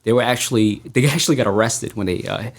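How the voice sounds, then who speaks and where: A man's voice: medium pitch, fast talker, husky. A man in his thirties with an American accent, talking casually as a podcast guest and telling stories. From United States, born in Thailand to parents from Laos. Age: 30s. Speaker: podcast guest, medical lab scientist and martial arts instructor. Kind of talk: casual conversational storytelling.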